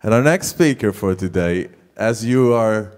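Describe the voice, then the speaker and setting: A young man hosting a talk night on stage with a handheld mic, friendly and halting, filling his introductions with "uh". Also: fairly low pitch, expressive, darkish tone. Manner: friendly, halting, with many fillers. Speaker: host. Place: stage in a theater hall.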